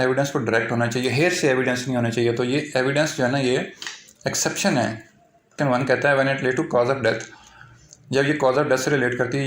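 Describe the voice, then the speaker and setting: A Pakistani man with a medium-pitched voice teaches law in an informal lecture style. He explains point by point, often restating things and dropping in English legal terms. The recording is noticeably roomy and echoey. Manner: repetitive, filler-heavy explaining with English legal terms mixed in.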